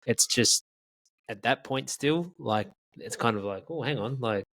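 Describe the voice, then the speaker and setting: A man co-hosting a casual podcast chat about sales calls, blunt and quick to agree. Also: medium pitch, animated, fairly bright tone, no room sound. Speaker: sales coach co-host.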